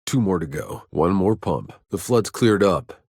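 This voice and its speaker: A low, husky, gruff soldier. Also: male voice, animated, unhurried, darkish tone, no room sound, studio quality, full character voice. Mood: sardonic, casual. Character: gruff, wry, battle-worn male soldier in sci-fi game. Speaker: video game protagonist. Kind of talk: video game voice lines, dramatic acting.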